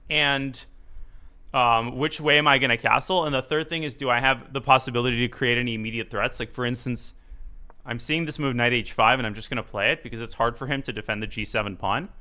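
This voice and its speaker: A young man streaming a live chess game, commentating as he plays with quick thinking aloud and jokey asides. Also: medium pitch, fairly even intonation, pushed and bright. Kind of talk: live commentary while playing.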